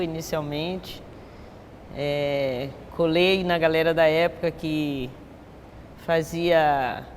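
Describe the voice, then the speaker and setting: A woman's voice: fairly low pitch, long reverb tail, slight background noise. A woman in her sixties with a Bahian accent, a capoeira master speaking reflectively in an interview and often ending with a tag question. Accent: Bahian Portuguese. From Bahia, Brazil. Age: 60s. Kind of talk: recorded interview testimony.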